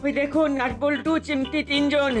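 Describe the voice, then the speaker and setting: A woman voicing an excitable, tattling child sidekick in a cartoon, with an urgent, repetitive delivery. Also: high-pitched, moderate pace, lightly acted. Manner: urgent, repeating words. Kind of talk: cartoon voice acting. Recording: music behind the voice.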